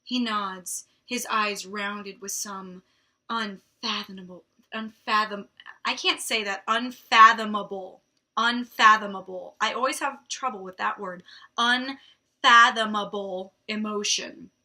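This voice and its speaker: A young woman reads a romance novel aloud, pausing for dry, mocking asides. Her voice is strikingly bright and forceful. She takes her time and lets each line land slowly. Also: medium pitch, fairly even intonation. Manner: wry, drily mocking asides. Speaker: book reviewer host. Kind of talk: reading aloud with sarcastic commentary.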